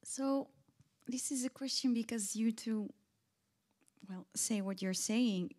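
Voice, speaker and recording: A woman's voice: a little high, slow pace, darkish tone. Panel moderator, woman, English, questions that search and rephrase. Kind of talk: panel discussion. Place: event hall on stage.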